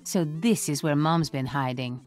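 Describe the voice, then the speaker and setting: A woman's voice: sits low, measured pace, a little mellow, soft background music. Young female soldier in a video game, dry and observant. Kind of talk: video game character voice acting.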